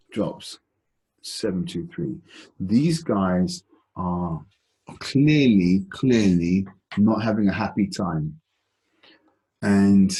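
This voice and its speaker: A man presenting an informal stock analysis as host of an investment call, explaining in a halting, deliberate, conversational way. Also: lowish voice, gravelly, mellow, low-quality recording.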